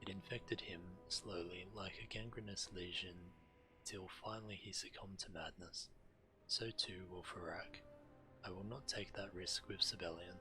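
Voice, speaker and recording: An actor playing a grave, calculating dragon strategist in a fantasy game, speaking formally with measured brooding. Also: male voice, sits low, steady tone, over music, close to an everyday voice, poor audio. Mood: grave, urgent. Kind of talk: dramatic fantasy game dialogue.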